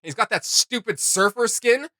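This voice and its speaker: A man's voice: very high voice, lively intonation, moderate pace, sharp tone, no echo. A man hosting a reaction video, giving casual, opinionated commentary in an emphatic, slangy way.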